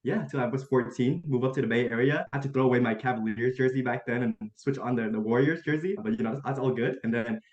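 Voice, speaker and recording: Young male guest from Vietnam, quick and upbeat, roomy lo-fi video call audio. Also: medium pitch, darkish tone. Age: young adult. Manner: friendly, upbeat, says "man" and "like" a lot. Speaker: guest, college student. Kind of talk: casual interview chat.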